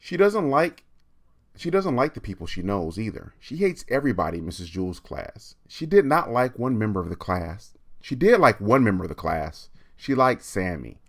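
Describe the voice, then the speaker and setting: Very deep-voiced man hosting storytime. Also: big pitch swings, moderate pace. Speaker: reader hosting a livestreamed storytime. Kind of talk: chatty livestream talk and expressive read-aloud of a children's book.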